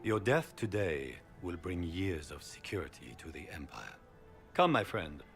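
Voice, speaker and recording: A man's voice: low-pitched, big pitch swings, unhurried, gravelly, fairly bright tone, soft background music, heavily acted. An actor playing a haughty, warlike nobleman in a fantasy game, grandiose in manner. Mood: triumphant. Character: haughty, forceful warlike nobleman, claimant to a throne. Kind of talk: fantasy video game acting.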